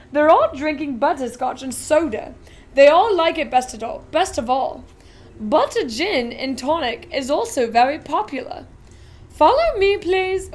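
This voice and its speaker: A woman reading aloud and voicing an eccentric, excitable male chocolate factory owner who talks loudly and briskly. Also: high-pitched. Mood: gleeful. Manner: loud, brisk and commanding.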